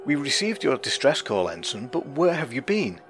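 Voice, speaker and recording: Sci-fi drama: man as measured male starship commander, tactful authority, medium pitch, scored with music. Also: sing-song, a bit bright. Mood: questioning. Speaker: captain. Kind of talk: sci-fi audio drama acting.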